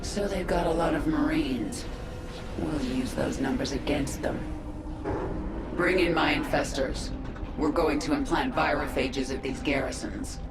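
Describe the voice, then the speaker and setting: A woman's voice: low voice, measured pace. A woman playing a vengeful, commanding queen of an alien swarm in a video game, imperious and decisive. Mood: calculating. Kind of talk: video game cinematic acting. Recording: echo effect, loud music behind.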